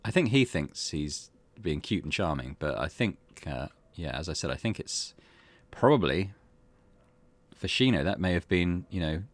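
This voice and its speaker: Let's play host, man, lowish voice, animated, muses aloud and self-corrects. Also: normal speed, no echo. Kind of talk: game commentary.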